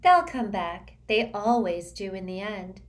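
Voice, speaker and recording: A woman's voice: mid-range voice, sing-song, measured pace, pitched above the performer's own voice. A woman doing a dreamy, airy teenage witch girl. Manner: airy, matter-of-fact, lilting.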